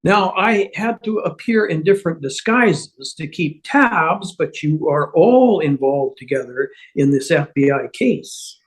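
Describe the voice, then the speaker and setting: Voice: mid-range voice, expressive, unhurried, few pauses, natural voice, low-quality recording. An amateur actor playing a nervous, stammering schemer in a TV comedy table read, improvising cover stories. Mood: flustered insisting.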